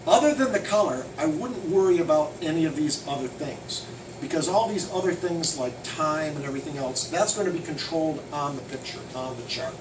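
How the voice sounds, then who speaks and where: A man leads a hands-on software lesson in a loud, casual voice that leans hard on his warnings. He sits a little high and away from the mic. The room echoes, and the air conditioning hums steadily behind him. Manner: loud, emphatic at key points, casual. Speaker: software trainer. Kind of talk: hands-on software training walkthrough. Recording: roomy, distant, noisy air conditioning.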